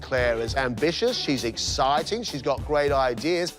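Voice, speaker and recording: A man's voice: mid-range voice, animated, unhurried. A man presenting and judging a cooking competition in an upbeat, announcing manner. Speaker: judge. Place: TV studio kitchen. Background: background music.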